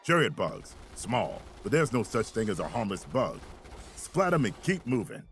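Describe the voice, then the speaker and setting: A gruff, sardonic infantry officer for a military video game. His delivery is commanding and very animated, with wry clipped phrasing over clearly audible music. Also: on the low side, moderate pace. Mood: stern briefing. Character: gruff, sardonic mobile infantry field commander barking orders. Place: studio voice recording, music behind.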